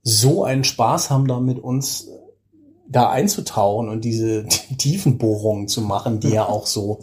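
A man in his forties chatting casually as an interview guest, telling things with animated enthusiasm. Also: on the low side, measured pace. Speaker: interview guest, podcaster and audio drama creator.

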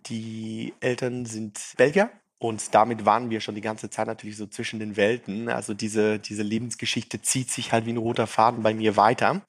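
Chatty founder with a faint French-Swiss accent. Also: male voice, fairly low pitch, level tone, moderate pace, few pauses. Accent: light French-tinged Swiss. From Brazil, raised in Switzerland. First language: Portuguese and French. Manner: chatty, self-deprecating, many fillers. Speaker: startup founder, guest. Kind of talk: casual interview chat.

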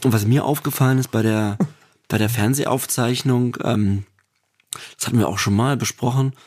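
A man's voice: mid-range voice, steady tone, normal speed, darkish tone. A man co-hosting a podcast, speaking candidly and hesitantly with many fillers. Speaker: podcast co-host. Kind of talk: casual podcast chat.

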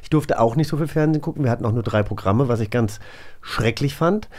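A man's voice: mid-range voice, fast, a little mellow, slight background noise. A man from Germany hosting a casual interview podcast, chatting in a colloquial, storytelling way. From Germany, grew up in a village. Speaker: podcast host.

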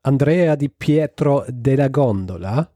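Man, casual storyteller, very dark mellow voice, halting delivery, dry close sound. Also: mid-range voice, expressive, no echo. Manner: hesitant, many ums and restarts. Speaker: podcast host telling a story.

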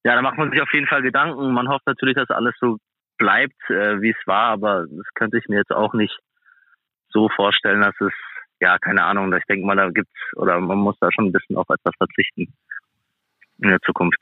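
Low-voiced, hesitant man in muffled audio. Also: level tone, brisk, no echo. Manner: hesitant, plain-spoken. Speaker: guest, professional ice hockey player. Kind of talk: casual interview.

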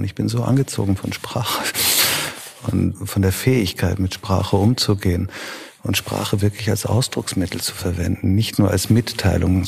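A middle-aged man with a heavily gravelly, raspy voice, answering an interviewer's questions. He talks in a slightly low register and thinks aloud, pausing as he searches for the right words. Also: a little mellow. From Italy and Germany. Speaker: festival director, interview guest.

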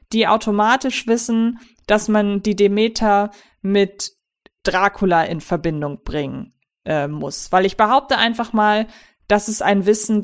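A female podcast host with a fairly high voice talks over a horror film in a relaxed, conversational way. She explains her points at length and fills her pauses with small "ähm"s. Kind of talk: casual film review chat.

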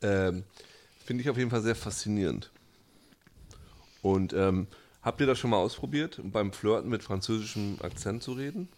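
Rambling, lower-pitched podcast guy. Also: normal speed, pauses often, darkish tone. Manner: rambling, many fillers and restarts, laughs easily. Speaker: podcast co-host. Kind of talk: casual chat.

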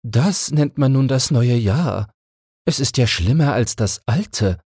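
Piepsig hohen, missmutigen kleinen Spatz. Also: male voice, medium pitch, animated, slow, darkish tone, slightly rough, no room sound, clean studio sound, voice pushed up, exaggerated voice. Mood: grumpy, complaining. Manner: chirpy, complaining. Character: small, frozen, grumpy chattering sparrow. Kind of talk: fairy-tale audiobook character voice.

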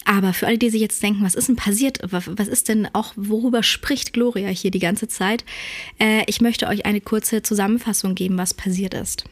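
A woman hosting a podcast, talking earnestly with many fillers and self-corrections, her voice hoarse from a cold. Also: medium pitch, steady tone, brisk. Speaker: podcast host and dog trainer. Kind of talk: casual podcast monologue. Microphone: podcast microphone.

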